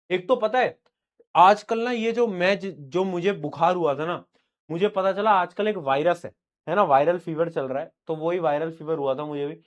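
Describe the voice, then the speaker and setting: A young man with a fairly high voice teaching a live online lesson. His throat is sore and he coughs now and then. He talks in a loose, teasing way with his students. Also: takes it slowly, long pauses. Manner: informal, teasing, addresses students as beta.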